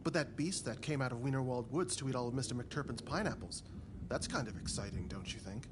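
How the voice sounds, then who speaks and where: A man's voice: medium pitch, fairly quick, close to an everyday voice. A man voicing a friendly, gossipy servant in a cartoon video game, chatty, confiding and folksy. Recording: clear ambient noise behind.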